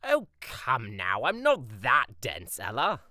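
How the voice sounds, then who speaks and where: An actor playing a pompous, self-assured young prince who talks in theatrical, flowery formal language in an audio drama. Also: male voice, medium pitch, wildly swooping pitch, slow, bright, forceful tone, no echo, studio quality, full character voice. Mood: smug, amused. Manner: archaic, flowery formal diction.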